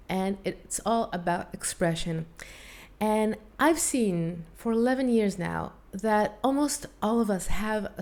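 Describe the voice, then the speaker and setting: An earnest woman with a Hebrew accent speaks English as a second language, taking her time with it. She talks straight to camera in one take, reflective and personal, with a few small slips. Her voice sits at a middle pitch for a woman. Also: warm tone. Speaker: Hebrew teacher and vocal coach.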